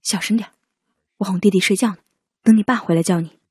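A woman's voice: mid-range voice, fast, darkish tone, slight background noise, natural voice. A woman acting in a radio drama as a busy mother of two, chiding but caring, with an admonishing maternal manner. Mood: scolding. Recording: music bed under the voice.

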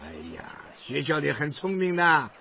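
A proud old boat builder in his seventies, a doting radio-play grandfather. His voice is bright and carrying, with hearty exclamations. The recording is lo-fi with faint noise behind. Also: fairly high pitch, moderate pace, gravelly. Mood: pleased. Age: 70s. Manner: hearty, chuckling, exclaiming old-man talk. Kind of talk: radio drama acting.

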